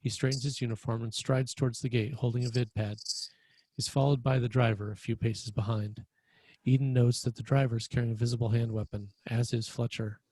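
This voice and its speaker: A man's voice: medium pitch, level tone, fairly quick, warm tone, breathy edge, dry recording, poor audio. A man reading screenplay stage directions as narrator, in a plain, descriptive manner.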